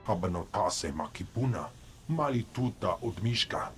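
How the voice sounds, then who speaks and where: A man's voice: lowish voice, animated, moderate pace, soft background music, close to an everyday voice. A man acting a brusque alien who speaks an invented tongue in a video game.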